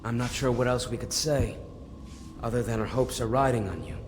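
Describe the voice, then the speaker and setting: A man playing an earnest, calculating conspirator in a video game, grave, measured and emphatic. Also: mid-range voice, background noise, natural voice. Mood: grave urgency. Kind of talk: video game character dialogue. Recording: reverberant hall, music behind.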